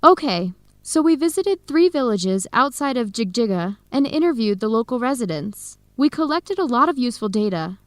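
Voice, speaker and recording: A woman playing an earnest young woman in an educational radio drama, speaking expressively and clearly. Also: on the high side, big pitch swings, moderate pace, no echo, studio quality. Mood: enthusiastic. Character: Helen, earnest young woman searching for her birth family. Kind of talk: acted radio drama dialogue for English learners.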